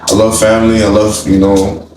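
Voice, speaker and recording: A man's voice: fairly low pitch, flat delivery, brisk. A young man from Nigeria, a college senior, speaking as a podcast guest in an upbeat, enthusiastic casual chat. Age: young adult. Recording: echoey room, distant mic, faint noise.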